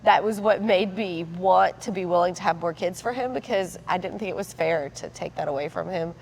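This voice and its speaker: A woman's voice: lowish voice, brisk, creaky, darkish tone. Chatty woman in her thirties. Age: 30s. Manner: chatty, laughs often. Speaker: podcast host. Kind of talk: casual podcast chat. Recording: faint background noise.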